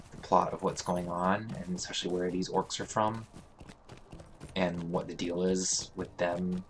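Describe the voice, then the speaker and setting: A man hosting a let's play, talking casually through a game with a hoarse, congested voice and many fillers. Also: low voice, a little hiss or hum. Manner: relaxed, halting, thinking aloud with many fillers. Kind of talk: casual gameplay commentary.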